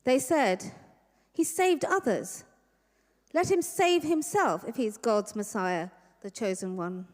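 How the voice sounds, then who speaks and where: A woman giving a measured, scripted reading of scripture, phrase by phrase, in a Passion dramatisation. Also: a little high, expressive. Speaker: Passion reader. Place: large church hall, live reading. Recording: echoey large church hall.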